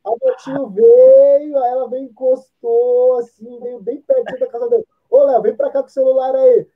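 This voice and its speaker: A man in his thirties co-hosting a casual video-call chat, easygoing and telling stories with slangy asides, from a home room. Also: very high voice. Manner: relaxed storyteller, drawn-out slang asides. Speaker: podcast co-host. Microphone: laptop or earphone mic on a video call. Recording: dull lo-fi video call audio.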